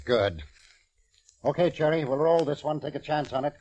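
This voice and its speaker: Brief: impatient movie director, 1940s radio style, higher male voice, barking orders, easily irked. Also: lively intonation, moderate pace, a little mellow, muffled recording. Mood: brisk command. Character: bossy, irritable male Hollywood film director. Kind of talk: 1940s radio drama acting. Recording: old radio show, restored.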